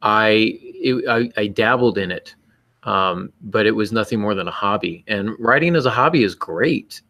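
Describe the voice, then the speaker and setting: A man's voice: fairly low pitch, a bit bright. A man co-hosting a podcast, talking reflectively in an earnest, emphatic, self-critical way. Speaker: podcast co-host and writer.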